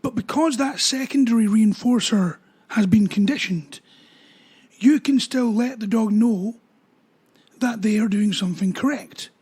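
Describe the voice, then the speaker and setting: A man, a dog trainer explaining training ideas in a relaxed talk with everyday examples. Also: high voice, unhurried. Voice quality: husky voice.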